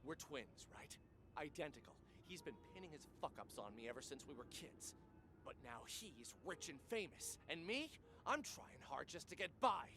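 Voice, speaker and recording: Animated, defensive young suspect, high-voiced. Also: male voice, normal speed, slight background noise, heavily acted. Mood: resentful. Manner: excitable, streetwise, aggrieved. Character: defensive, resentful young man, twin brother under police questioning. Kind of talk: audio drama acting.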